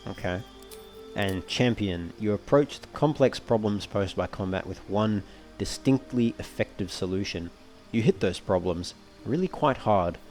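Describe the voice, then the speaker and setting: Low-voiced man narrating a video game. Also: lively intonation, unhurried, a little mellow, faint music behind. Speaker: gamer narrating a playthrough. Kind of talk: casual let's play commentary, often reading game text aloud.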